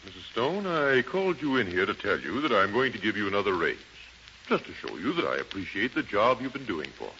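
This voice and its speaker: An actor playing a shrewd, suspicious gambling-house boss in a 1930s radio drama, American, clipped and authoritative. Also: male voice, on the low side, sing-song, a little fast, pushed and bright, exaggerated voice. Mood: curious, approving. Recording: noisy, dull 1930s radio recording.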